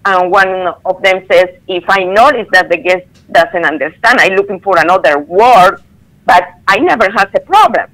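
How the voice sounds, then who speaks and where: A woman, a caller telling her story on a radio show in earnest, halting English over a phone line. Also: medium pitch, a bit bright.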